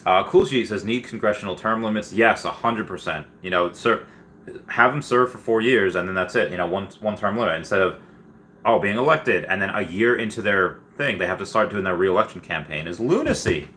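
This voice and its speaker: A man with a low, bright and forceful voice hosts a casual livestream. He talks in a rambling, slangy, stop-start way as he responds to viewers. There is some clear noise in the background of the recording. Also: fairly quick. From Peru. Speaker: livestream host. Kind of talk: casual livestream chat, reading viewer comments.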